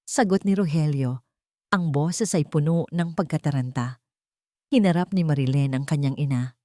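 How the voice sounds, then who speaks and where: A woman's voice: on the low side, mellow, no room sound, studio quality. A woman narrating a scripted dramatic story.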